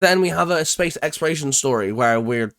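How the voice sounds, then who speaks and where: A man's voice: on the high side, lively intonation, brisk, fairly bright tone. A man co-hosting a fan discussion show, talking casually and enthusiastically about geeky favourites in a loose, hedging way. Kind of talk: casual geek chat, ranking discussion.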